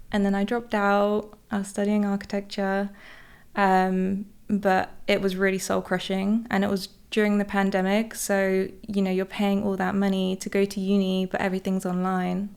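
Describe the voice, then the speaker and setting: A woman, a podcast guest chatting casually in a relaxed, soft-spoken way. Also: mid-range voice, moderate pace, creaky, clean tone. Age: 20s. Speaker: podcast guest, content creator. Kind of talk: casual chat.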